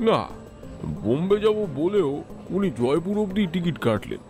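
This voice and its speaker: Radio drama bit part: helpful, chatty booking clerk, highish animated voice, music bed. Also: male voice, a little slow. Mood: obliging, recounting. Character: obliging, chatty male railway reservation clerk. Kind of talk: audio drama acting, recounting in dialogue.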